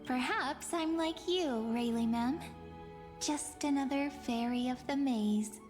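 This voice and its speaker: A soft, teasing fortune reader. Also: female voice, high voice, takes it slowly, soft background music. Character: mysterious young woman fortune reader. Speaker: game character.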